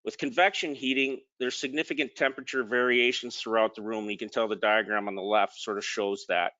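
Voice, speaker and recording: A man's voice: lowish voice, steady tone, brisk, pushed and bright, dry recording, muffled recording. A man presenting a technical slide talk in an informal way, with frequent ums and restarted words. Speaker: product manager presenting.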